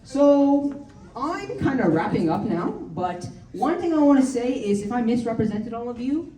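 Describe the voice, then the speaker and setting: A woman's voice: fairly high pitch, very animated, moderate pace, dark tone. A teenage American girl performing a rambling, giggly amateur stand-up set with many likes and ums. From United States. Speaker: stand-up comedian. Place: large hall with audience. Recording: echoey hall, speaker far from mic, crowd behind.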